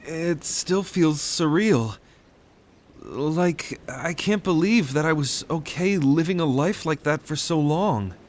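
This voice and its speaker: A man playing a gentle, hesitant young half-blood in a roleplay audio drama, speaking in a halting, earnest way. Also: a little high, lively intonation, voice pushed up. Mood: wondering, wounded.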